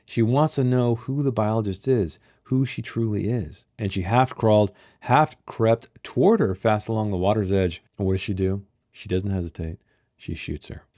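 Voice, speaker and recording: A man's voice: lowish voice, moderate pace, dark tone, no echo, studio quality. A man hosting a read-along book club, reading a novel aloud and breaking off to muse on it in casual commentary with rhetorical questions.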